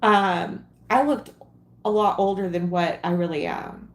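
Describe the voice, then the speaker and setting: Slow, chatty woman in her forties. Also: lowish voice, echoey room. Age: 40s. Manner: rambling, chatty, frequent ums and giggles. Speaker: craft livestream host. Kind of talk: casual crafting livestream chat.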